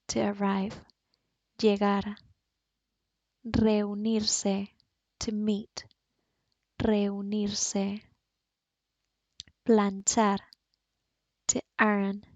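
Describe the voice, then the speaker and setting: Slow, careful woman with a Spanish accent. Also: medium pitch, dry recording. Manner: slow, clearly enunciated word drills. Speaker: Spanish teacher. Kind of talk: language lesson. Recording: dull, dry voice-over.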